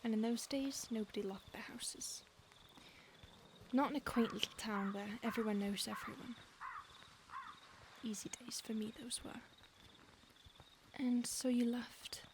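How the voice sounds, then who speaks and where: Woman as centuries-old vampire stalker, female, soft menacing whispers, higher voice, noisy hiss behind. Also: unhurried, long pauses, lightly acted. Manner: soft, intimate, often whispered; calm, menacing, possessive. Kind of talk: audio roleplay.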